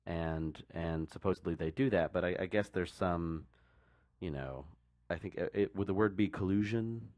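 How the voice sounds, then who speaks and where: Podcast co-host, male, low-pitched, close and dry sound, casual rambling explainer. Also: normal speed, a little mellow, dry recording. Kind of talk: casual discussion.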